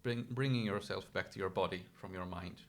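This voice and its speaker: A man's voice: low-pitched, brisk. A Finnish man whose first language is Swedish, a yoga expert guest explaining ideas step by step in casual conversation. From Finland. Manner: thoughtful, explains step by step with small restarts.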